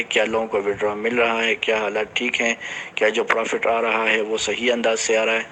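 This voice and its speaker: A man gives an informal, explanatory announcement to his team as a company director, halting at times and mixing in English business terms. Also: mid-range voice, bright, forceful tone, a little hiss or hum, rough recording.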